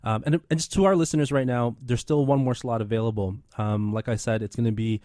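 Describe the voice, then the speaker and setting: A man's voice: medium pitch, steady tone, fast talker, warm tone, no echo. A man in his thirties hosting a community radio show in a studio, talking casually with many fillers and restarts. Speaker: radio host.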